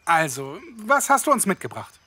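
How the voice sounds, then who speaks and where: A man playing an excitable, grumbling young podcaster in a German audio drama, bantering casually. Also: high-pitched, normal speed, husky, exaggerated voice. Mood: wry, amazed.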